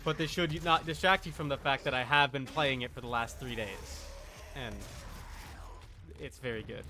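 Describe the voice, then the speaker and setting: A man's voice: medium pitch, normal speed, background noise. A man chatting casually on a gaming livestream, rambling and emphatic as he corrects himself, with faint game sound behind him. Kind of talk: casual gaming stream chat. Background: video game sound.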